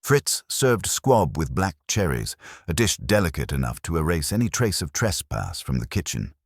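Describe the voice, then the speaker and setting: A man's voice: sits low, very animated, moderate pace, no echo, clean studio sound. A man reading a first-person detective story as a scripted audiobook narration.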